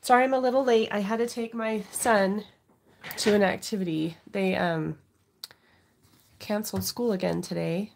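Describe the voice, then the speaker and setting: Woman craft host, stuffy cold voice, gravelly edges, chatty and apologetic, phone overhead at home desk. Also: medium pitch, fairly even intonation, moderate pace, pauses often, slight background noise. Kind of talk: casual live unboxing chat.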